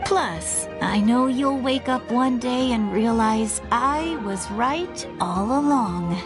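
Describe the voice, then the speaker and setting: An actress voicing a spirited, stubborn young woman in a video game, with a feisty, expressive manner. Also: fairly high pitch, slow. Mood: teasing. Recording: music behind the voice. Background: game music.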